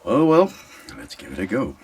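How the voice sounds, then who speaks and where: A man with a very low, rough-edged voice reads narrative verse aloud. He is an amateur reader who stumbles now and then and restarts his words. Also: wildly swooping pitch, normal speed, darkish tone.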